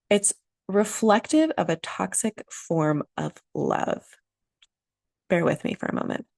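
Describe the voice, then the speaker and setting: A woman hosts her own podcast with a low, gravelly voice that has a lot of vocal fry. A cold leaves her sounding nasal as she talks earnestly, leaning on key phrases and repeating them. The recording is dry and close. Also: no echo. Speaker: podcast host. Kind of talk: solo motivational podcast talk.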